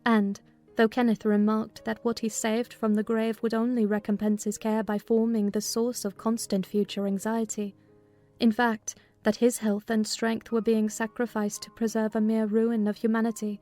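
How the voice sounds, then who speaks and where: A woman's voice: fairly high pitch, flat delivery, normal speed, dark tone, soft background music. A woman reading scripted audiobook narration of a classic novel.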